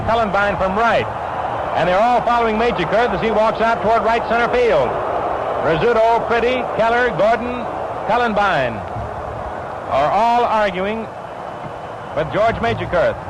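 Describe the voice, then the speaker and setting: An American man calling baseball play-by-play in 1940s radio style, describing the action in crisp phrases. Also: sits high. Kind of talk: 1940s radio sports commentary. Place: ballpark broadcast position. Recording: noisy vintage 1940s radio broadcast.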